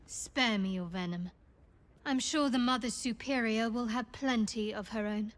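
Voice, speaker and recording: An actress playing a guarded, sharp-tongued young woman adventurer in a fantasy game, with a wry, dry delivery. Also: on the high side, a little slow, lightly acted. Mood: defiant, dry.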